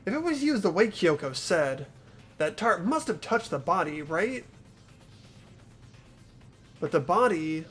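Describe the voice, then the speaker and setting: A man's voice: high-pitched, big pitch swings, a little slow, long pauses, a bit bright, husky, heavily acted. A man voicing an anxious, earnest teenage boy in fan-made visual novel game dialogue. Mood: anxious, resolute. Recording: music behind the voice.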